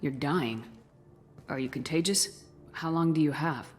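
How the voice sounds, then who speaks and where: An actress playing a determined military spaceship commander in a video game, speaking in a direct, businesslike way. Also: female voice, low-pitched, measured pace, soft background music. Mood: questioning, frank. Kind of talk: video game cutscene acting.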